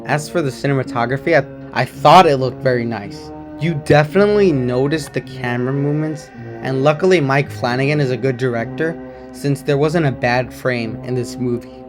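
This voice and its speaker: A man with a slightly hoarse voice and occasional voice cracks, giving a chatty, rambling movie review at home. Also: mid-range voice. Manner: chatty, rambling, enthusiastic opinions. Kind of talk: casual non-spoiler movie review.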